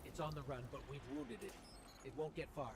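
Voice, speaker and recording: A man's voice: mid-range voice, a little fast, natural voice. A man voicing a small, alert robot companion in a video game, earnestly reporting what he observes. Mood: alert, curious. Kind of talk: video game mission dialogue. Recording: game audio under loud effects noise.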